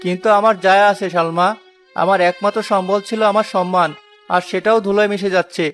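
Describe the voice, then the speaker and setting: A poor, honest porter acted by a man speaks in a high male voice. He is earnest, proud and plaintive, like a wronged humble hero in a cartoon folk tale. Music plays behind him. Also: flat delivery, a little fast, fairly bright tone. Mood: despairing. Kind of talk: Bengali cartoon moral tale dialogue.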